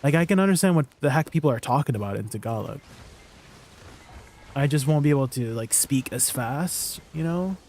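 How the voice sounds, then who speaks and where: A Canadian man chats with viewers while streaming a game. His mid-pitched voice has a gravelly fry, and he talks in a relaxed, rambling way. A faint hum of room noise sits behind him. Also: normal speed. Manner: relaxed, rambling, trailing off at line ends. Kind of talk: casual stream chat.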